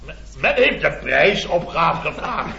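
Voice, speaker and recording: A man's voice: fairly high pitch, sing-song, unhurried, creaky, lo-fi sound, crowd noise, exaggerated voice. A roomy old radio comedy recording with an audience behind it. a pompous company boss with a raised voice, who sputters indignantly and repeats his words in exasperation. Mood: flustered. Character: blustering, exasperated male boss of a building firm.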